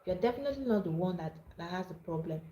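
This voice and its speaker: A woman's voice: lowish voice, expressive, fast talker, warm tone, echoey room. A Nigerian woman hosting a live-streamed advice talk, speaking earnestly and often repeating and restarting her words. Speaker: livestream host.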